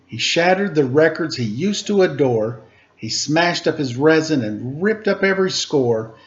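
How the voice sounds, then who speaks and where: A man reading a rhyming children's picture book aloud in an expressive, playful, sing-song storytelling style. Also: a little high, measured pace. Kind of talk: animated read-aloud storytelling with rhyming verse. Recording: slightly dull, lo-fi home recording.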